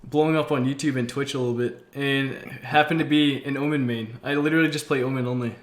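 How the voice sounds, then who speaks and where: A man in his twenties from Massachusetts with an American accent, hosting a stream with excitable, slangy casual chat. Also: mid-range voice, fast, roomy sound. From Massachusetts, United States. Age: 20s. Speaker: game streamer, host.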